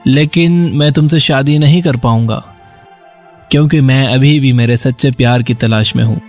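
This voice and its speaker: A young romantic prince, acted by a man, with a medium male pitch. He speaks sincerely in the manner of a fairy tale cartoon, music playing softly behind. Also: natural voice.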